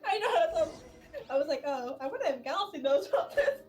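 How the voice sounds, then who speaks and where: Casting: excitable young-sounding female streamer, high voice, bouncy intonation, casual rambling chat over faint music. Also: fast. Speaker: gaming streamer. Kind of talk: casual chat while gaming. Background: faint game music.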